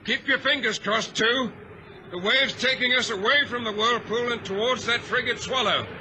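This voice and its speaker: An actor playing a grave, formal ship's captain in an old-time radio drama. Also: male voice, extremely high pitch, moderate pace. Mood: relieved. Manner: solemn, formal, commanding. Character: grave male ship's captain facing disaster. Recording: noisy vintage radio drama recording.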